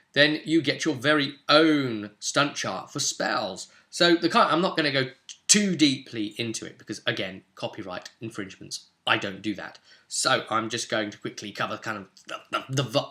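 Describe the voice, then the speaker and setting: A man's voice: mid-range voice, moderate pace, bright, forceful tone. A man hosting an informal tabletop roleplaying guide, talking directly to viewers in a conversational, explanatory way. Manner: conversational, addresses viewers directly with tag questions. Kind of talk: informal explainer, part ad-libbed, part reading rules aloud.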